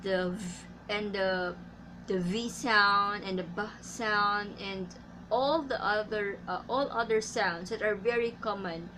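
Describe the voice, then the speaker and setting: A woman from the Philippines with a Filipino accent, an English teacher giving tips in a casual vlog, speaking carefully with many pauses and fillers. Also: mid-range voice, noisy background. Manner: slow and careful, frequent uh fillers.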